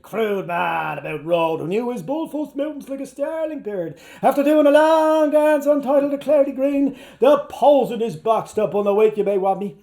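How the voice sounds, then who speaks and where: A man with an Irish accent giving an animated, declamatory stage reading of a novel, playful with the wordplay and shifting between hushed and loud delivery. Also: high voice, creaky. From Ireland or Canada. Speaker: actor performing a literary reading. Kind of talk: theatrical live reading of a novel.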